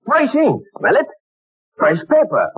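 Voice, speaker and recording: A genteel, hesitant old office boss, pitch rising and falling widely; tinny vintage radio play sound. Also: male voice, huge pitch swings, measured pace, husky, no echo, full character voice. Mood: formal, fussy. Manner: hesitant, old-fashioned formal speech full of "uh". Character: courteous fussy old company boss stuck in the past. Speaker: office manager interviewing a typist. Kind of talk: 1940s radio acting.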